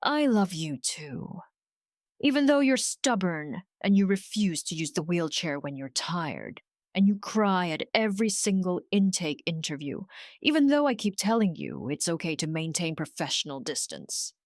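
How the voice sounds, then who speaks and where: A woman voicing a gentle, devoted man in love in an audio romance story, tender and sincere. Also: lowish voice, big pitch swings, normal speed, no room sound, clean studio sound. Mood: affectionate teasing.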